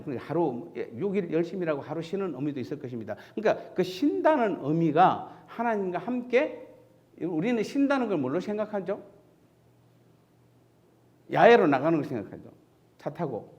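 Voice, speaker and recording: A man's voice: fairly high pitch, a little mellow, dull audio. A man from Seoul, a pastor giving a conversational sermon in a church sanctuary, asking the congregation questions and answering them himself.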